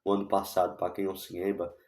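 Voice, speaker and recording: A man with a Brazilian accent giving his casual take on a football match for his channel, hoarse from a cold and hesitant, with many fillers and restarts. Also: sits low, monotone, fast, a little mellow, reverberant. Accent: Brazilian Portuguese. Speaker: football YouTuber. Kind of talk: casual match commentary vlog.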